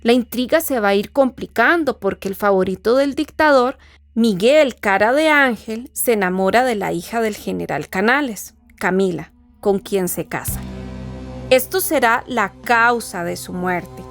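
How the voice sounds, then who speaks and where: A woman's voice: a little high, animated, a little slow. A woman giving a scripted, formal, didactic narration as presenter of an educational literature programme. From Costa Rica. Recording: voice over background music. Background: music.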